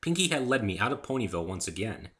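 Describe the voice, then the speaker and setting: A man's voice: fairly low pitch, very animated, fast, bright, forceful tone. A man reading scripted first-person fiction as an amateur story narrator.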